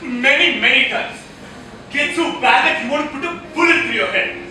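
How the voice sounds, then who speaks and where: A man's voice: very high voice, normal speed, bright, forceful tone, heavily acted. A young man acting a genial, theatrical doctor with jovial patter in an amateur stage comedy. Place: college auditorium stage, recorded from afar. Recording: echoey auditorium, distant mic, noisy.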